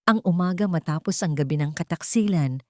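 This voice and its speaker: Female storyteller, lower register, close dry sound, solemn dramatic scripted narration. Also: a little fast, mellow, no echo.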